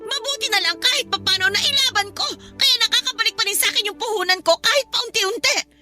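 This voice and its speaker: A woman's voice: extremely high pitch, fast, pushed and bright, faint music behind, heavily acted. A woman playing a spiteful middle-aged aunt in a Tagalog radio drama, scolding and blaming with bitter scorn. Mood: furious accusing. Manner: accusing, scolding, emphatic.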